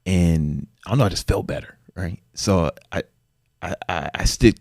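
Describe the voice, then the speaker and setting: A man's voice: low-pitched, moderate pace, a little mellow, no room sound, clean studio sound. A man in his forties, a fitness trainer guesting on a podcast, talking in a laid-back, slangy way and laughing often. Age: 40s. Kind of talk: casual interview.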